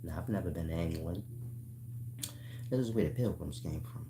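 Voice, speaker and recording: A man's voice: sits low, normal speed, noisy background. An American man giving a casual explanatory monologue in blunt, colloquial, sweary language, often checking that listeners follow. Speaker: online spiritual teacher and channel host. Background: music.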